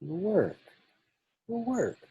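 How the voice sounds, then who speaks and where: A man acts out a cocky space smuggler pilot in a film script read. The husky voice swings widely with nervy, wisecracking bravado. The sound is close and dry. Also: sits high, big pitch swings, takes it slowly, warm tone, dry recording, muffled recording, heavily acted. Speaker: actor in an online table read. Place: remote video call, indoors.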